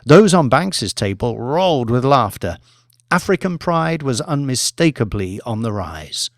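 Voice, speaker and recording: A man narrating dramatic fiction as an audiobook reader, in a measured, articulate storytelling manner. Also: mid-range voice, sing-song, dry recording, studio quality.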